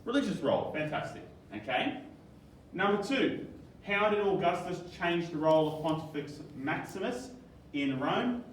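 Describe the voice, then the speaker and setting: A man's voice: on the high side, animated, moderate pace, slight background noise. A man teaching a history class, upbeat and jokey, quizzing his students. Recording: echoey room from far off.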